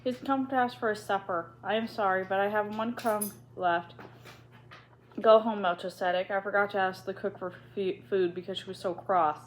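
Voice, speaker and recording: A woman reading aloud voices a brave young girl who speaks gently and quietly. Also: mid-range voice, fairly even intonation, normal speed, slight background noise, close to an everyday voice. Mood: calm.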